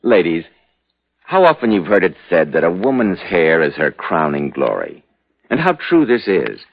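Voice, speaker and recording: A man announcing and pitching a sponsor in a scripted 1940s radio style. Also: wildly swooping pitch, normal speed. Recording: dull vintage radio recording.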